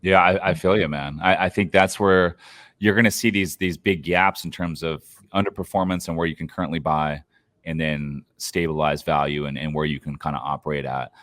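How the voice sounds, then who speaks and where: A man's voice: extremely low pitch, steady tone, normal speed, a bit bright, no room sound, clean studio sound. A man speaking as a podcast guest, answering interview questions about investing in an informal, advice-giving way.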